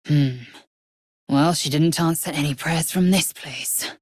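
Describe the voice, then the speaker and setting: A woman voicing a fierce, haughty githyanki warrior in a video game, with a husky rasp and an imperious, clipped, formal way of speaking. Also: low-pitched, unhurried, darkish tone, no echo, studio quality. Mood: proud, dry. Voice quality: husky, raspy. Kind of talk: video game character voice lines.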